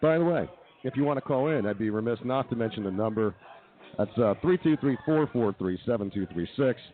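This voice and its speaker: A man's voice: mid-range voice, expressive, a little fast, narrow, tinny audio. A man hosting a live comedy radio talk show from a brewery tasting room. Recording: thin, band-limited radio stream.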